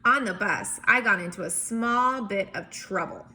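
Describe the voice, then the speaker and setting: A woman reading a storybook aloud in an expressive, emphatic way, with chatty asides as if to young children. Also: mid-range voice, a little slow. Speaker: teacher or reader reading a picture book aloud.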